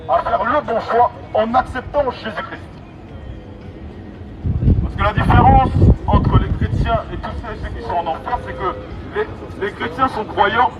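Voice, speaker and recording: A high-voiced man delivers an emphatic street sermon through a megaphone. He exhorts in repeated phrases, and the sound is dull, with loud traffic and city noise around him. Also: far from the mic. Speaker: street evangelist. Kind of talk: open-air megaphone sermon. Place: outdoors in an urban public street. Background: traffic and city ambience.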